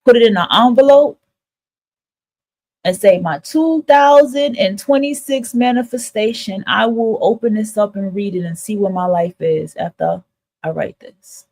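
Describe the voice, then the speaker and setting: A woman's voice: medium pitch, measured pace, a little mellow. A woman host talking casually and candidly to her listeners in an emphatic, repetitive, motivational monologue, swearing freely. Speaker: host of a spiritual advice livestream.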